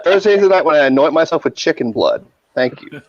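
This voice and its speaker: A man's voice: fairly high pitch, very animated, fast, no echo. A man on a casual video-call chat, teasing and cutting in with jokes. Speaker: guest. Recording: dry, dull lo-fi sound.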